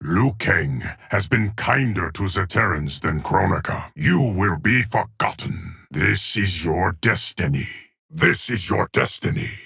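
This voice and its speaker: Game casting: an ageless, solemn keeper of time, low rumbling gravel, dry close sound, measured formal pronouncements. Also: male voice, a bit bright, dry recording, heavily acted. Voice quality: deep, gravelly, husky. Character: solemn ageless immortal male guardian of time. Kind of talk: video game character voice lines.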